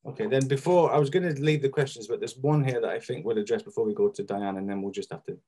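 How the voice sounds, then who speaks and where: A man hosting an online talk, chatting casually in an effusive, halting way over a video call. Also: mid-range voice, warm tone, breathy edge. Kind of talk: casual chat responding to a speaker.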